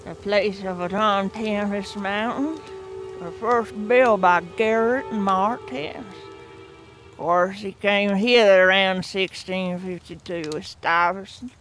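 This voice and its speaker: A woman acts out a very old, cunning mountain crone with an old rural drawl. She speaks slowly and teasingly, in a mid-pitched, weathered voice. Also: music in the background, close to an everyday voice, poor audio. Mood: sly. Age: older. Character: ancient mountain crone, cackling and sly.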